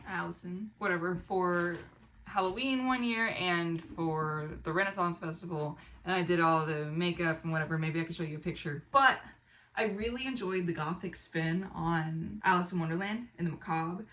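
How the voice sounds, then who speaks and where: Young american lady doing a chatty vlog, bright voice, rambly and expressive, bit of background noise. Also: lowish voice, moderate pace. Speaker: book vlogger, author. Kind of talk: casual chat to camera, book haul. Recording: clear background room noise.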